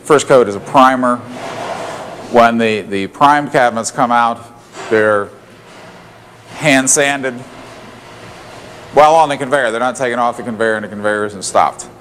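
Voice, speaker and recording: A man's voice: mid-range voice, fairly bright tone. A man guiding a walking tour through a factory, explaining each step plainly with frequent fillers and restarts. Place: loud factory floor. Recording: factory noise behind voice.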